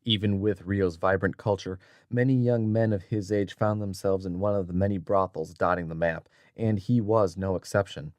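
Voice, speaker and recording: A man's voice: low voice, steady tone, moderate pace, few pauses, a little mellow, dry recording. A man narrating an audiobook story in a scripted, dramatic style.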